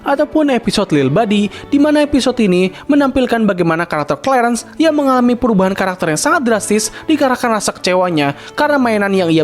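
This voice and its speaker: A young man narrating a scripted cartoon review in casual slang, opinionated and chatty. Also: high voice. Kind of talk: scripted video-essay narration. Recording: voice-over with faint background music.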